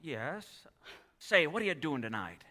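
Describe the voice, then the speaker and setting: A man's voice: a little high, big pitch swings, unhurried, pushed and bright, full character voice. An actor playing a restless, earnest young man in an old-time radio play, stammering and exclaiming, taped live on stage. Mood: restless, romantic. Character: restless, earnest young man dreaming of leaving his small town. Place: theatre stage. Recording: live stage taping in echoey hall.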